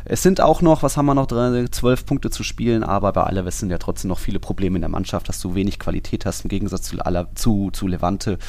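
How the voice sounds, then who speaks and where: A man's voice: low-pitched, fast talker, hardly any pauses, darkish tone. A man co-hosting a football podcast in casual, colloquial, opinionated talk. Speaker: podcast co-host.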